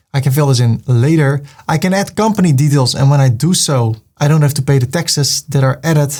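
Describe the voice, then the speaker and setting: A man's voice: fairly high pitch, a little mellow. Upbeat Dutch man walking through clicks. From Netherlands. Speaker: web tutorial host. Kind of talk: screen-recorded software walkthrough.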